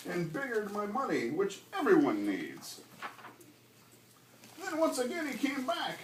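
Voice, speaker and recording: Male storybook reader, dramatic and lively rhymed delivery, miked from a distance in a classroom. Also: high-pitched. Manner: dramatic, emphatic. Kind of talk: expressive rhymed storybook reading. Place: school classroom. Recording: roomy, distant mic, background noise.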